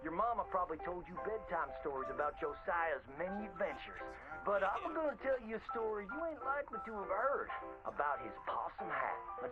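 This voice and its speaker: Hammy, high-voiced Southern frontier showman. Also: phone-quality sound. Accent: American Southern, old frontier drawl. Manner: hammy, folksy, exaggerated storyteller delivery. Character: folksy theatrical frontier showman spinning boastful tall tales. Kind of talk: theatrical old-time storytelling narration. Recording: band-limited old-radio sound with prominent music. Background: music.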